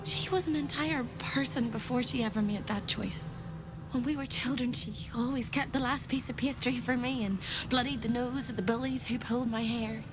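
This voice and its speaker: High-voiced actress as a wistful, fragile woman. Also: fairly even intonation, moderate pace, noisy background, close to an everyday voice. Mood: grieving, resolute. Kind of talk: video game drama acting.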